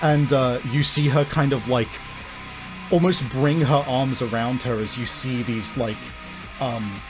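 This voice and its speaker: A man runs a horror tabletop game as game master. He improvises vivid narration with hesitant pauses in a medium-pitched voice, and faint guitar music plays behind him. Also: low-quality recording.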